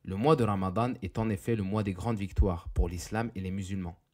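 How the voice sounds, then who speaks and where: A man narrating a scripted educational quiz, reading questions and answers in a deliberate, didactic way. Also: deep voice, fairly even intonation, heavy background noise.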